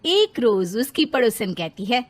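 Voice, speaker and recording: A woman's voice: on the high side, sing-song, unhurried. A woman narrating a scripted moral story as a storyteller.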